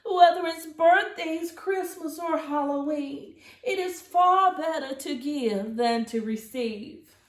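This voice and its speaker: Woman playing a theatrical high-voiced eccentric great-aunt. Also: echoey room. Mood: wise. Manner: theatrical, drawn-out, dramatic emphasis.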